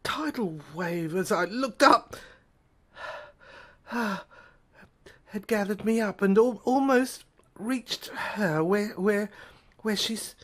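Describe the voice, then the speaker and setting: A woman performing dramatic first-person narration, haltingly, often repeating words and trailing off. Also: mid-range voice, a bit bright. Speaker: narrator of an audio screenplay.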